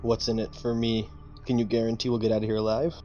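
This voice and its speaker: A man talking casually as a tabletop roleplaying player, haltingly thinking aloud about his choices. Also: mid-range voice, flat delivery, mellow, muffled recording, faint music behind. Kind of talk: casual in-game discussion.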